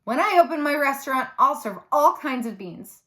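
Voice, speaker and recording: Storybook father, played by a female reader: exuberant dreamer, proud, emphatic, raised pitch. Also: expressive, normal speed, bright, forceful tone. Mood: dreamy, proud. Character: big-dreaming, exuberant father of a young girl. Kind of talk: children's audiobook read-aloud.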